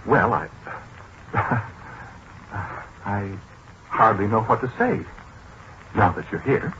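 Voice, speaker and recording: An actor playing a flustered, earnest, halting man in a 1940s radio drama. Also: fairly low pitch, animated, fairly bright tone, highs cut off, full character voice. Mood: hesitant, earnest. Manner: hesitant, halting, stammering. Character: flustered, earnest man convinced his machine talks. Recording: noisy, band-limited 1940s radio recording.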